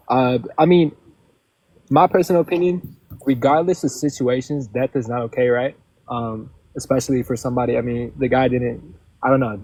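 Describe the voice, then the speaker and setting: A man's voice: medium pitch, a little mellow, breathy edge, dry recording, low-quality recording. Rambling twenty-year-old male caller. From Oregon. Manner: casual, rambling, hedging.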